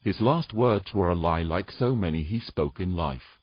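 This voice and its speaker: A man playing a weary, gruff knight with dry, teasing humour in a romantic fantasy audio drama. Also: low voice, animated, moderate pace, dry recording, lo-fi sound. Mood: dry, reassuring.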